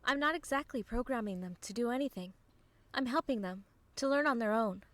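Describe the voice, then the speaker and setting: A woman's voice: a little high, animated, fairly quick, fairly bright tone, no echo. A woman playing an earnest, guarded young woman haunted by her past, in a dramatic comic dub. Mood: anxious.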